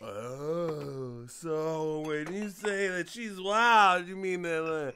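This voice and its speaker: A man's voice: on the high side, bright, forceful tone, a little hiss or hum, full character voice. An actor playing a folksy father in an audio drama, who acts like a jovial barfly to confront a predator and can turn menacing. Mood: nosy, jovial. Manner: folksy, drawling, mock-friendly, then slow and threatening.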